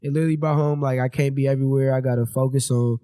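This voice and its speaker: A man's voice: medium pitch, steady tone, racing, warm tone, clear, resonant tone, dry recording, clean studio sound. Young man telling loose, rambling stories. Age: 20s. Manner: loose, rambling storytelling with slang and restarts. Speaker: podcast guest. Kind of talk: casual chat.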